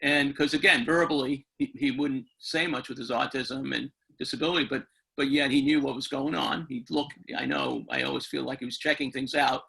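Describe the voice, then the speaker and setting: A man's voice: medium pitch, steady tone, brisk, vocal fry, few pauses, a bit bright, rough recording. A man hosting an informal webinar interview, rambling and circling back, often seeking agreement from his guests. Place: remote webinar call.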